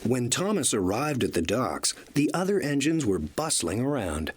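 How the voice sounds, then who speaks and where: Husky man narrating a children's story, animated. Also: medium pitch, normal speed.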